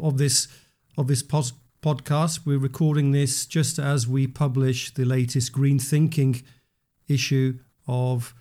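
A man's voice: medium pitch, warm tone, no echo, studio quality. A man hosting a business podcast, reading introductions and putting questions to a guest. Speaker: podcast host and magazine news editor.

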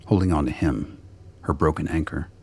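Male narrator, extremely low pitch, gravelly husk, scripted radio drama storytelling. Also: normal speed, a little mellow.

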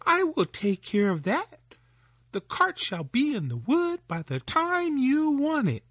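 Tiny bright-voiced boy hero, eager and clever, swooping high; a man reading a storybook voices him. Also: takes it slowly, dry recording, clean studio sound, heavily acted. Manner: high, excited, calling out.

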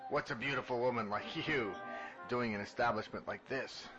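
A man playing a vain, flirtatious secret agent in an amateur radio drama, pompous and halting in his delivery. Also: medium pitch, lightly acted. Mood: smug, flirtatious. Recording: echoey hall, dull sound, music behind.

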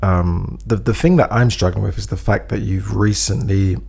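A man's voice: on the low side, fairly even intonation, normal speed, gravelly, a little mellow, slightly rough. A man co-hosting a casual chat, giving earnest advice and acting out example speeches, a bit phlegmy. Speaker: podcast co-host giving advice.

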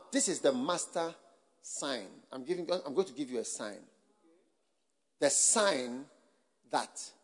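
A man preaching a sermon to a full hall, talking rhetorically with lots of repetition, and building up to shouting. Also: medium pitch, slightly rough. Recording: long hall reverb.

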